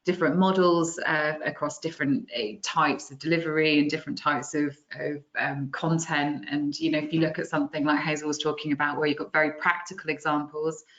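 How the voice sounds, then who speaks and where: A woman moderates a webinar, halting and filler-heavy as she hands out questions. Her voice sits low, and the video call audio sounds muffled. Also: little pitch movement, fairly bright tone. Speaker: webinar host.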